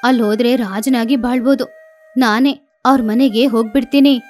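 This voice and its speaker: A woman's voice: on the high side, steady tone, normal speed, darkish tone, soft background music, natural voice. Cartoon boy parrot, voiced by a woman: young, selfish, boastful, entitled. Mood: greedy, smug. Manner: self-assured, boastful.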